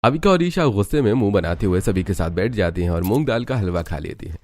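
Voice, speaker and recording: A man narrating a scripted animated family story. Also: fairly low pitch, fast talker, soft background music.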